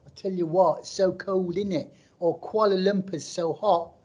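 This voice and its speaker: A man's voice: high-pitched, steady tone, moderate pace, darkish tone, voice pushed up. A man putting on the voice of a Malaysian friend who comes back from abroad with a fake London accent, drawling in an affected, smug way. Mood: smug, showing off.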